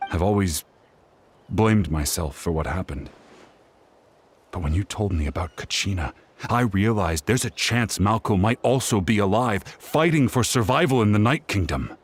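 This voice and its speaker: A man's voice: on the low side, lively intonation, moderate pace, a little hiss or hum, close to an everyday voice. A man voicing a grief-driven, determined warrior in a video game, speaking with earnest, solemn intensity. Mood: solemn, resolute. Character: grief-driven, determined male warrior searching for a lost comrade.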